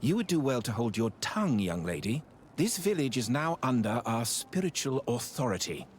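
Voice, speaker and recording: A man's voice: mid-range voice, sing-song, moderate pace, slight background noise, natural voice. A man playing a menacing, condescending church zealot in a video game. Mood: threatening. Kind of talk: video game cutscene acting.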